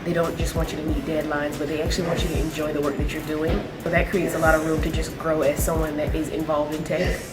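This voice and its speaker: A woman's voice: sits low, level tone, quick pace, few pauses, loud music behind the voice. A woman in her twenties, a student intern, giving a casual testimonial to camera. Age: 20s.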